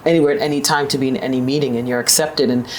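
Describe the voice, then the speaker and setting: A woman's voice: steady tone, very fast, darkish tone, a little hiss or hum. Assertive New York woman in her fifties. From New York, USA. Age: 50s. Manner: assertive, upbeat, with sudden high pitch lifts. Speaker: guest, managing director. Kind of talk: conversational interview storytelling.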